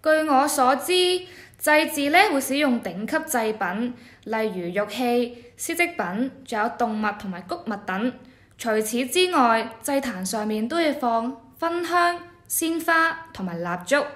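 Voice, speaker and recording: A woman's voice: a little high, animated, measured pace, fairly bright tone, echoey room. A woman with a Hong Kong Cantonese accent playing an earnest, deferential new junior rites official in an educational radio drama. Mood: studious. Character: eager, respectful newly arrived junior rites official. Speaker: voice actor.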